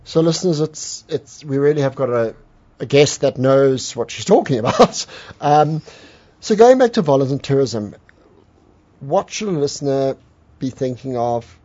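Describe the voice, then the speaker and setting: A man's voice: mid-range voice, expressive, a little slow, a little mellow, dull audio. A man hosting a radio show, talking to listeners and asking long, rambling questions. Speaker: radio host. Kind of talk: radio interview.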